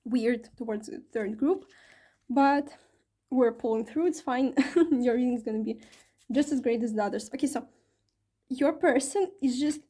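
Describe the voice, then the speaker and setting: Mellow-voiced woman reading tarot. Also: fairly high pitch, normal speed, long pauses. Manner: chatty, with many fillers and self-corrections. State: slightly strained voice from talking long. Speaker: tarot reader. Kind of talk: casual tarot reading to camera.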